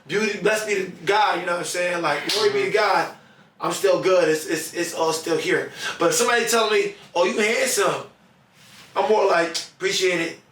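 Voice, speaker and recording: Young man, raspy hoarse voice, laid-back chat, roomy room, distant mic. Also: sits high, moderate pace, fairly bright tone, a little hiss or hum. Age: young adult. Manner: laid-back, repeats "you know". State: hoarse after the holidays. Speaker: podcast co-host. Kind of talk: casual chat.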